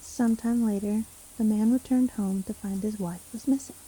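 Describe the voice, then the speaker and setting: A woman playing a seemingly kindly, sly witch who lives alone in a forest, speaking gently and unhurriedly in a fantasy audio roleplay. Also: mid-range voice, fairly even intonation, moderate pace, husky, close to an everyday voice, low-quality recording. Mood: hushed storytelling. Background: rain storm ambience.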